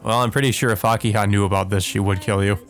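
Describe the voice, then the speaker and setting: A man's voice: on the low side, steady tone, fast, soft background music. A man hosting a let's play, reading visual novel text aloud with casual commentary.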